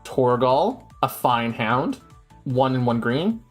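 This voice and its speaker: A man's voice: mid-range voice, slow pace, soft background music. A man hosting a stream from his home setup, chatting casually and reading card rules aloud. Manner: animated, reads rules text aloud in bursts. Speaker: streamer host.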